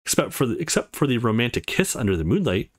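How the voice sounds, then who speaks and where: A man's voice: medium pitch, big pitch swings, fast talker, slightly rough. A man voicing a confident, playful young college woman in a visual novel. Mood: teasing.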